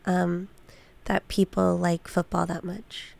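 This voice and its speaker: Woman with creaky fry, chatting casually. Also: fairly low pitch, level tone, takes it slowly, darkish tone, dry recording. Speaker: podcast guest. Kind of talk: casual chat.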